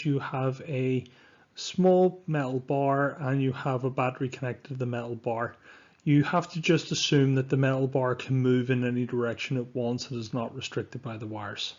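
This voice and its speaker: A man's voice: medium pitch, steady tone, normal speed, mellow. Dull-sounding recording of a male science teacher. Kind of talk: scripted lesson narration over slides.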